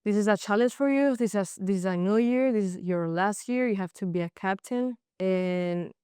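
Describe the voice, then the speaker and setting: A young woman from Colombia with a Colombian Spanish accent, a native Spanish speaker speaking halting English with frequent restarts in a casual interview. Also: medium pitch, warm tone, smooth, no echo, clean studio sound. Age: young adult. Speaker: guest, college goalkeeper.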